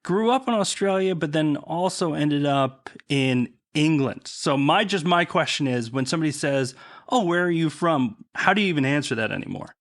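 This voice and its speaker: A man's voice: fairly high pitch, lively intonation, moderate pace. Upbeat male podcast host. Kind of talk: casual podcast chat.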